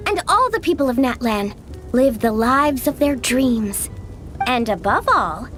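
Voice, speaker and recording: Casting: breezy, bossy young game heroine, high voice, very animated swings, big-hearted party girl. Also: unhurried, faint music behind. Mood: sincere. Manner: breezy, playful, take-charge. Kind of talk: anime-style game voice acting.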